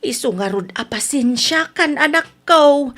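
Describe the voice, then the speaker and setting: A woman playing a middle-aged, quick-to-bicker mother in an audio drama, complaining and exclaiming with an Ilocano accent. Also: high voice, lively intonation, fairly bright tone. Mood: pleading, apologetic.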